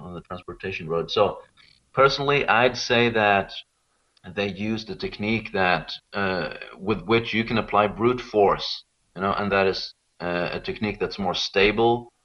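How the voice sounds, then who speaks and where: A man from Sweden, explaining things informally and conversationally as an interview guest. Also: on the low side. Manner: informal, explanatory, often checking with "you know". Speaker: interview guest, local expert on Rapa Nui. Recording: dull, lo-fi call-like audio.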